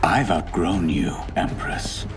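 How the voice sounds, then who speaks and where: Deep-voiced, arrogant traitor sorcerer. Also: male voice, lively intonation, recorded from a distance, music in the background. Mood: smug defiance. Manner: cold, self-assured, deliberate. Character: arrogant young male sorcerer turned traitor. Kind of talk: dramatic video game cutscene acting.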